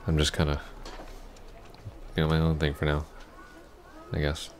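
A man playing an awkward, apologetic young ex-boyfriend in an ASMR roleplay, halting and stammering. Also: extremely low pitch, monotone, slight background noise. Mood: sheepish, guarded. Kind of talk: ASMR roleplay, soft intimate acting.

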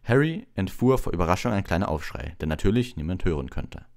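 Man reading a relaxed bedtime story. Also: low-pitched, fast. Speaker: audiobook reader.

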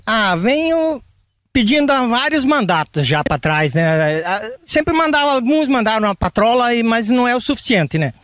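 An older man with a Brazilian Portuguese accent answering interview questions outdoors in a yard, speaking haltingly with frequent tag questions. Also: very high voice, animated, a bit bright, no echo, studio quality. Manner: halting, plain-spoken with many tag questions. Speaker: interviewed landowner. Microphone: reporter's handheld microphone.

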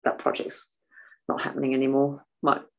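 Soft-voiced older woman, low pitch. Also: brisk, dull audio. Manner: animated, waves arms while talking. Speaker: guest author and artist. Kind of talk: casual video call interview. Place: home room, indoors. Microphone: video call.

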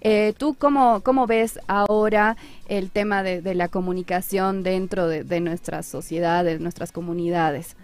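A woman in her thirties from Argentina hosting a radio interview in a small studio, asking thoughtful questions on a boom-arm mic. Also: steady tone, a little slow, few pauses. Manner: soft back-channel listener, thoughtful questioner. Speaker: radio host.

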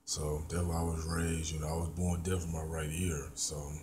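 A man's voice: rumbling low, little pitch movement, moderate pace, noisy background. A man in his thirties with a Memphis Southern accent, rambling and repeating himself as he reviews food while eating, filmed on a handheld phone indoors. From born in Memphis, raised in Mississippi. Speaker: vlogger. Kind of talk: casual food review vlog. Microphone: handheld phone camera at arm's length.

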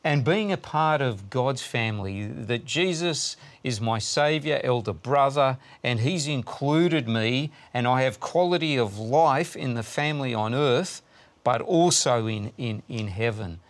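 Middle-aged pastor hosting a church show. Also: male voice, medium pitch, lively intonation, a little slow. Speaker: pastor and host. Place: studio with blue screens.

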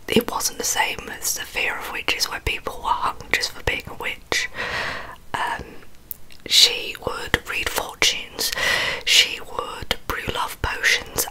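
A woman in her twenties with a Northern English accent, telling a story in a soft, breathy ASMR whisper close to the microphone. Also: rough recording. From Yorkshire, England, near Leeds. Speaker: ASMR host telling true crime. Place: small bedroom, indoors. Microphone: large microphone close in front.

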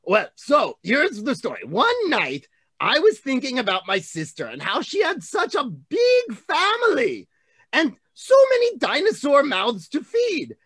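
A middle-aged man voicing an excitable, big-hearted dinosaur hand puppet, telling a children's story with theatrical delivery. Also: very high voice, measured pace, slightly rough, no room sound, heavily acted. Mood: earnest storytelling. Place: room at home. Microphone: video call, computer mic.

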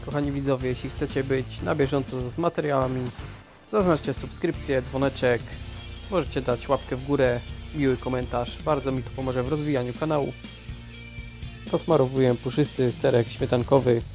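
A man's voice: mid-range voice, a little mellow. A man giving scripted recipe narration as a cooking host. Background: guitar music.

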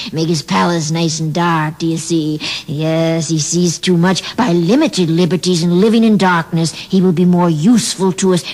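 A performer doing a smooth, scheming devil king who coos and flatters his subjects and then drops to a low, slow purr. His voice swings widely, with fussy theatrical asides in the manner of radio drama. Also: natural voice. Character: scheming male devil tyrant, smooth and mocking.

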